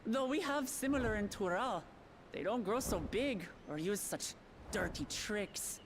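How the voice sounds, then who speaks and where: Brief: bold cat-folk warrior girl, hearty, lively and a bit high, noisy game audio. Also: a little slow. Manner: hearty, boisterous. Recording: game audio with clear noise.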